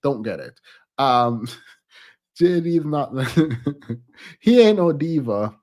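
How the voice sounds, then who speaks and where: A man with a cold talks through the news in an unhurried, chatty way. His voice sits a little high and sounds stuffed up. He muses out loud, pausing on ums and restarting his sentences. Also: big pitch swings. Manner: chatty and reflective, frequent ums and restarts, occasional laughs. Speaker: commentary channel host. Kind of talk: casual political commentary.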